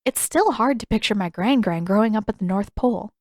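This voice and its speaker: A woman's voice: mid-range voice, expressive, a little fast, darkish tone, dry recording, clean studio sound, close to an everyday voice. A woman voicing an outspoken teenage girl hero in a storybook, with a blunt, questioning manner. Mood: wistful.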